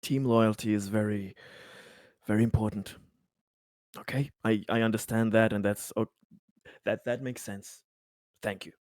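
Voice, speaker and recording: A man playing an earnest, conciliatory company man in a tabletop game, pleading his good intentions in halting, repeated phrases. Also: fairly low pitch, steady tone, a little mellow, no room sound, clean studio sound, natural voice. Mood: conceding, polite.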